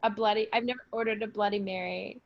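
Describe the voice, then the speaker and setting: A woman's voice: medium pitch, steady tone, fast, vocal fry, dry recording. A woman talking casually and informally in a group conversation. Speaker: podcast cast member. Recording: dull lo-fi audio.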